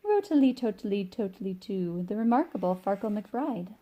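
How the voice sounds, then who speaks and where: A woman reading a rhyming children's picture book aloud in an expressive, sing-song way. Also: mid-range voice, moderate pace. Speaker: storybook reader.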